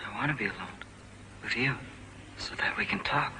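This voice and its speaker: A man playing a brooding, lonely, yearning misfit, hushed and halting, in an acted dramatic scene. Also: medium pitch, big pitch swings, poor audio. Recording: harsh bright sound, faint noise.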